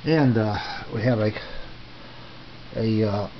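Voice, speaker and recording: A middle-aged or older man giving a casual, unscripted tour as a hobbyist, speaking in a halting, unhurried, plain way. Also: fairly low pitch. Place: small home radio shack, indoors. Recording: dull lo-fi camera audio, faint noise.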